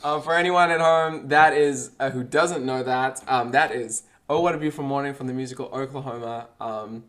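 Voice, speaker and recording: A young man with a South African accent, a guest performer chatting casually between songs, relaxed and full of fillers. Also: mid-range voice, normal speed, hardly any pauses. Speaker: guest performer, singer-guitarist.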